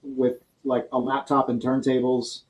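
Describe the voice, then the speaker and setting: A man's voice: mid-range voice, completely flat delivery, unhurried, a little mellow, highs cut off. An American man from the Pittsburgh area, a band member talking casually with a wry, joking manner. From Pittsburgh area, United States. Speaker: band member, interview guest. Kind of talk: casual chat.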